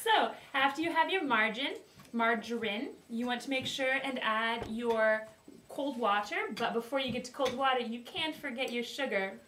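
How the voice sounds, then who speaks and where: Young woman doing a jokey cooking show, higher voice, giggly, echoey kitchen picked up from across the room. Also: a bit bright. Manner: giggly, slow, mock-formal announcing. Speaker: host of a home cooking video. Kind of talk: playful mock cooking-show presenting. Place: small wooden home kitchen, indoors. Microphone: far camera. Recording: roomy, distant, faint hiss.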